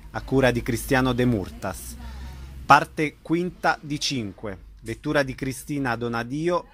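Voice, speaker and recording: Medium-pitched man hosting a radio show. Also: a bit bright. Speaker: radio host. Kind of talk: radio programme presenting and interview.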